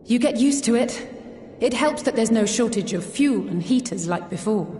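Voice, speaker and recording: A woman's voice: fairly high pitch, animated, moderate pace, mellow, soft background music, close to an everyday voice. A woman acting a hopeful, plucky young woman in a video game cutscene, in an echoey hall. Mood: matter-of-fact.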